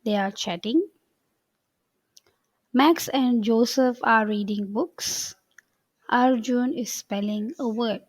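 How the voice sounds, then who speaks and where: A woman's voice: a little high, dry recording. A woman English teacher going over workbook answers in a patient, step-by-step way, often saying "okay" between points.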